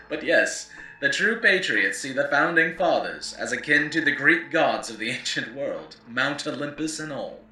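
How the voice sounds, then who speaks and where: A man's voice: mid-range voice, sharp tone. A man playing a wry, opinionated post-apocalyptic radio host, narrating scripted lore in a theatrical, emphatic lecturing way. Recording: roomy, distant mic, faint background noise.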